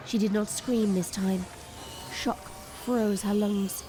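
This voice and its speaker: A woman's voice: medium pitch, fairly even intonation, slow pace. A woman narrator delivering dramatic scripted storytelling for an audio drama.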